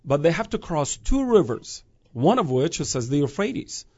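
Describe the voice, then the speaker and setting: Fervent gravelly man from Iraq. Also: fairly high pitch, very animated, normal speed, warm tone, muffled recording. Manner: fervent, restarts phrases, rises to emphatic peaks. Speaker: guest speaker on prophecy. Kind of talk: earnest conversational preaching.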